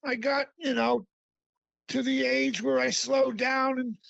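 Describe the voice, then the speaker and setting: Gravelly raspy-voiced man, relaxed. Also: very high-pitched, dry recording, highs cut off. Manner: relaxed, halting with fillers. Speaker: author guest. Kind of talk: casual interview.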